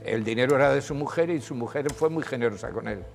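An older man in a TV studio, telling history as a guest with animated, emphatic delivery. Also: fast talker, vocal fry, big-room sound, over music. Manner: animated storytelling, rises in pitch when emphasizing. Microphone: lapel.

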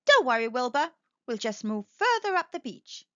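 A woman voicing a cheerful, scatterbrained female witch in a read-aloud storybook, exclaiming excitedly. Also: high-pitched, normal speed, no echo. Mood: mild dismay. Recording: dull lo-fi recording.

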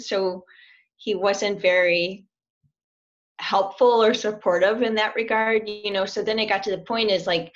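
A chatty woman in her forties talks over a laptop webcam from a small room at home. She laughs often and restarts her sentences as she goes. The call audio comes through dull and lo-fi. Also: medium pitch. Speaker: language student. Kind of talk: casual interview over video call. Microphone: laptop webcam on video call.

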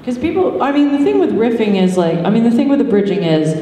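A woman's voice: a little high, very animated, quick pace, dark tone. A woman in her thirties chatting casually and bluntly on a convention panel over a handheld microphone. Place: large hotel conference room on a panel stage. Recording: echoey hall, distant, noisy room.

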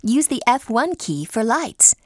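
Animated, cheerful teen girl game guide. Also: a little high, unhurried, no echo. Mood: helpful, upbeat. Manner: cheerful, clearly instructive. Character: upbeat, encouraging teenage girl guiding a dance game. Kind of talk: scripted game instructions and praise.

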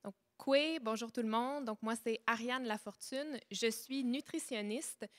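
A young woman nutritionist introducing herself calmly on a panel, holding a handheld mic. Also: a little high, a little slow. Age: young adult.

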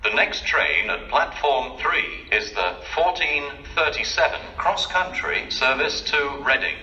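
A man's voice: fairly high pitch, expressive, measured pace, sharp tone, lo-fi sound, faint music behind. A man announcing trains in formal, segmented pre-recorded phrases over a public address speaker. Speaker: station announcer. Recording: distant, echoing station PA recording.

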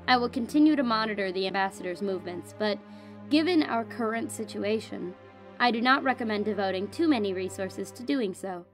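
A woman playing a cool, haughty royal spy reading a formal, measured, disdainful report in an audio drama. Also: on the high side, natural voice. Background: music under the voice.

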